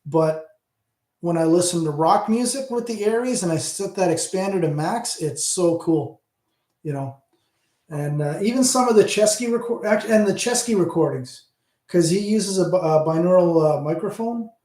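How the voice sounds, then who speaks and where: A man's voice: high voice, lively intonation, normal speed, darkish tone. A middle-aged man with a Southern Ontario Canadian accent, hosting a casual livestream chat, rambling and answering his viewers. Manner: rambling, talks back to chat, trails off. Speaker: channel host. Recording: roomy echo.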